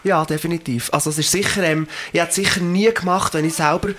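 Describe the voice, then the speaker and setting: A young man in his late twenties speaks with a Swiss German accent, his voice sitting a little higher than most men's. He answers thoughtfully and earnestly, pausing on fillers as he works through his ideas. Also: steady tone, fairly bright tone, slight background noise. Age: 20s. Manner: reflective, earnest, many fillers. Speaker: singer. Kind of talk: interview answers.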